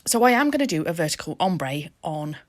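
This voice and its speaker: A woman's voice: big pitch swings, fairly quick. Giggly lady doing a voiceover, rambly and chatty, voice jumps all over. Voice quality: slightly deep for a woman. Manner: giggly, rambling, self-deprecating. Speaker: craft channel host. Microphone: voice-over mic.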